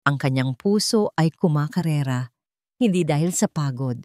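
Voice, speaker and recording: A woman's voice: low-pitched, lively intonation, moderate pace, dark tone, no echo, studio quality. A woman reading a dramatic scripted story as its narrator.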